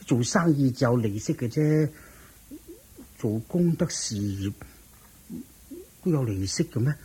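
An actor playing a thrifty, earnest, somewhat naive rich merchant in a radio drama, questioning and hesitant, with a Hong Kong Cantonese accent. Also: male voice, medium pitch, animated, unhurried, warm tone, breathy edge, rough recording. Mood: doubtful, puzzled.

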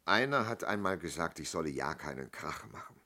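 An actor in a German radio play playing a polite older man giving a statement, husky and halting, courteously over-explaining. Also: very deep voice, animated, sharp tone, full character voice. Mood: polite, hesitant. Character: polite, hesitant older male robbery victim giving a statement.

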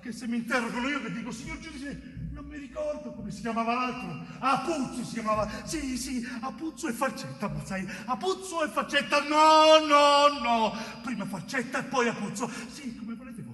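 Stage role: frightened young man, frantic and submissive, piercing and high, distant in a reverberant hall with music. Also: lively intonation, moderate pace, sharp tone, full character voice. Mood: panicked, compliant. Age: young adult. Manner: frantic, pleading, stammering repetitions. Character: terrified young bricklayer forced into a false confession. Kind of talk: theatrical stage monologue acting. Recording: echoey theatre hall from far off, music behind.

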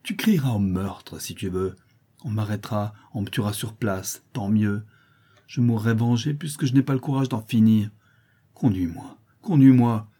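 A man's voice: fairly low pitch, moderate pace, dark tone, breathy edge. A man voicing a blind old brigand, desperate and pleading, sliding toward madness. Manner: pleading, raving.

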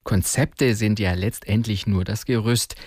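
A man presenting a music programme on the radio in a scripted, measured and articulate way. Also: lowish voice, a little mellow, husky, no room sound, studio quality.

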